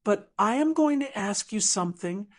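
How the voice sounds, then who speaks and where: A woman voicing an earnest, tender man, a gruff contractor in love, in an audiobook drama. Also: medium pitch, expressive, normal speed, close to an everyday voice. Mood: tender, resolved.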